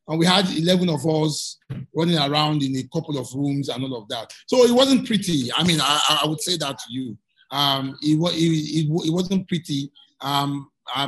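A man's voice: fairly high pitch, moderate pace, a bit bright, breathy edge, rough recording. A Nigerian man from Lagos, answering interview questions earnestly and often repeating words as he searches for them. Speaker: guest journalist.

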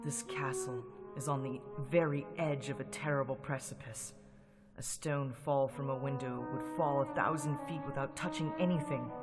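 Male narrator for a radio play, mid-pitched, tense and dramatic, loud score beneath. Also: steady tone, moderate pace. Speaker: narrator and lead actor. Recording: loud music under the voice.

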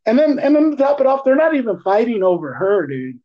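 A man co-hosting a podcast, chatting casually in profane slang with an animated, teasing, ranting manner. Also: extremely high pitch, quick pace. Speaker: podcast co-host. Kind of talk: casual, slangy movie chat. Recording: dull, lo-fi podcast audio.

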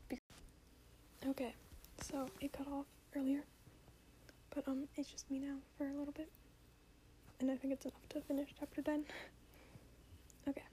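A woman reading a story aloud in a soft whisper for sleep, indoors at home. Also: high-pitched, little pitch movement, normal speed, long pauses, a little hiss or hum, low-quality recording. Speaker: reader.

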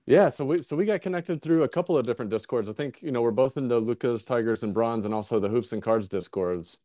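A man's voice: medium pitch, fast talker, dark tone, dry recording, lo-fi sound. A man hosting a casual interview podcast, talking in an easygoing, rambling way with many restarts. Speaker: podcast host.

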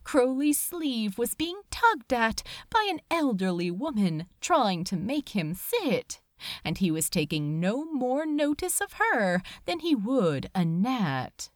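Female audiobook reader: animated pitch, unhurried delivery, emotive and deliberate, dry recording. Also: no echo. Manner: emotive, deliberate, dramatic pauses. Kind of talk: dramatic scripted fiction narration.

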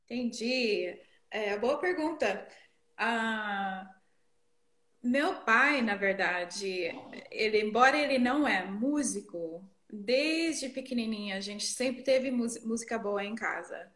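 American lady, english is her first language, interview guest talking slow and reflective, echoey room. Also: on the high side, lively intonation, fairly bright tone. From northeastern United States. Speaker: interview guest, worship leader. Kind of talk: conversational personal storytelling in an interview.

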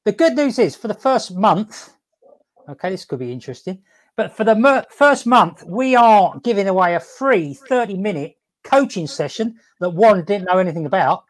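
A man hosting a casual live stream, upbeat and laughing often, talking haltingly with many fillers and restarts and asking "okay?" to check in. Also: high-pitched, expressive, rough recording. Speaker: host.

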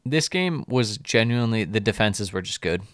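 A man's voice: on the low side, expressive, moderate pace, fairly bright tone, dry recording, studio quality. A man co-hosting a football podcast in casual chat, reading out lineups and joking about player names. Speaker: podcast co-host.

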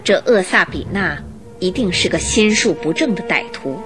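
A woman's voice: lowish voice, expressive, moderate pace. A woman narrating a radio drama in a scripted, suspenseful storytelling style, posing questions expressively. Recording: faint music behind narration. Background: faint music.

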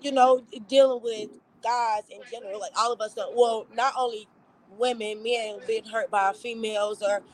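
Guest spot: raspy woman rapper, easygoing, filler-heavy chat. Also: fairly high pitch, steady tone, normal speed. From Charlotte, North Carolina. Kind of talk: casual interview chat.

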